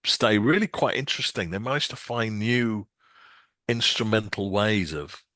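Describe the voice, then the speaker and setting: A man's voice: lowish voice, normal speed, bright, forceful tone, no room sound. A man co-hosting a casual music podcast, talking with many restarts and ums. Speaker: podcast co-host.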